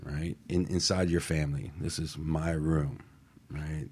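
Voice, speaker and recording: A man's voice: a bass voice, level tone, a little slow, a little mellow, slightly rough. A man in a casual radio discussion, explaining ideas step by step and often checking in with the listener.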